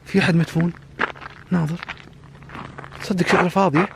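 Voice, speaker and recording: Tense young man, hurried and gravelly. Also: high-pitched, fairly even intonation, noisy background. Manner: hushed, tense, murmuring prayers and giving orders. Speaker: vlogger. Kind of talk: night exploration vlog. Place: outdoors at night, abandoned cemetery.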